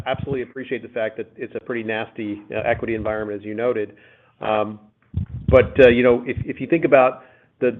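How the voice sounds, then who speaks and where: A man speaking as a company executive, giving unscripted, halting business answers with repeated words on a conference call. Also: medium pitch, a little hiss or hum. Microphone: conference call line. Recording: phone-like narrow-band conference call audio.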